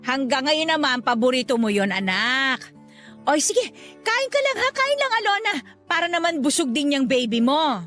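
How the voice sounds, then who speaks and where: A middle-aged mother-in-law in a radio drama, doting yet pushy. Her voice is fairly high and swings widely as she coaxes and insists, with music underneath. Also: moderate pace. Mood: warm, excited. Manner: coaxing, insistent, expressive. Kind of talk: Tagalog radio drama acting. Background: background music.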